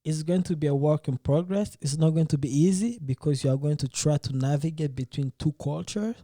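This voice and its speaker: A man's voice: on the high side, a little fast, mellow, dry recording, studio quality. A man hosting a casual podcast debate, speaking earnestly and hesitantly, often restarting his phrases. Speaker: podcast host.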